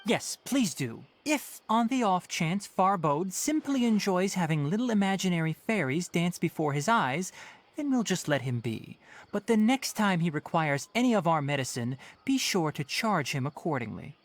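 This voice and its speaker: A man's voice: sits high, very animated, moderate pace. A man playing a stern but caring young male forest watcher in a video game, articulate, exasperated and dryly sarcastic. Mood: dry sarcasm.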